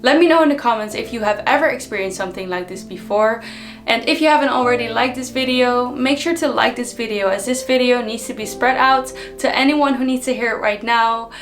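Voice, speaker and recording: A woman from the Netherlands, giving an unhurried, reflective motivational talk to her viewers with frequent ums. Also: a little high, faint music behind. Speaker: personal development vlogger.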